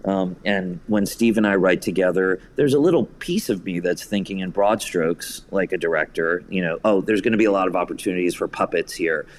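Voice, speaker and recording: A man's voice: sits low, lively intonation, a little fast, hardly any pauses. An American man talking reflectively as an interview guest about his creative work. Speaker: podcast guest, theater writer and director.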